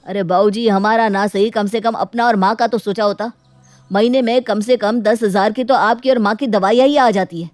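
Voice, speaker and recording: A woman's voice: medium pitch, fairly even intonation, moderate pace, darkish tone, no room sound, lightly acted. A woman voicing a hurt, dutiful grown son in a dramatic moral story, pleading earnestly and reproachfully. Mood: aggrieved.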